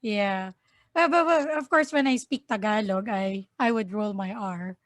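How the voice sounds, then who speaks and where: A woman's voice: medium pitch, no echo, clean studio sound. A woman from the Philippines hosting a casual chat, playfully quizzing her guest. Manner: playful, slow and deliberate. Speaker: host. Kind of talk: casual chat, quiz questions.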